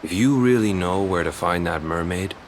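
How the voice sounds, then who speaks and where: A man's voice: low voice, moderate pace, a little hiss or hum, close to an everyday voice. An actor playing a young village fisherman in a video game, defensive and weary, with a blunt, guarded way of talking. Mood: sombre, resolute. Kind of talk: video game cutscene acting.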